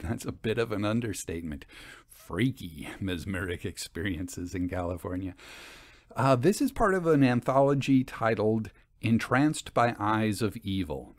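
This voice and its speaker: A man's voice: medium pitch, no echo. A man hosting a storytelling podcast, talking casually to listeners about old fiction. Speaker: host and story narrator.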